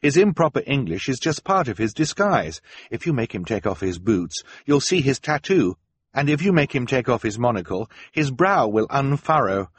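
Man voicing an earnest young girl, raised pitch. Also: big pitch swings, moderate pace, slightly rough. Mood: urgent. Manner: insistent, emphatic.

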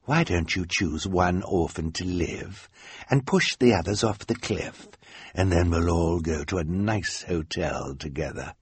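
Man playing a low, sly, sinister storybook villain. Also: big pitch swings, normal speed, breathy edge, no room sound, full character voice. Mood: sickly sweet. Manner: sickly-sweet, coaxing. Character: sly, sinister male villain, falsely sweet.

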